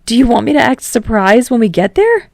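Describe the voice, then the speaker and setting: A woman voicing a cheerful, affectionate, excitable man in a fan fiction audiobook, with an eager, emotive delivery. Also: a little high, brisk, gravelly, dry recording, studio quality, pitched above the performer's own voice. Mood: delighted, eager.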